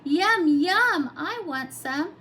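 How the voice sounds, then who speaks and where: A woman acts out an eager young boy shark from a picture book. The voice is high and exclaiming, turning whiny when crossed, with an impulsive, easily muddled temper. Clear background noise sits around it. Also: expressive, drawn-out words, sharp tone, exaggerated voice. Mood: eager, insistent.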